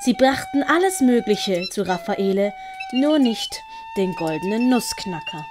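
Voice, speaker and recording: A woman's voice: mid-range voice, very animated, a little slow. A woman narrating a scripted story as an audiobook storyteller. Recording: music under the narration. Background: prominent plucked-string music.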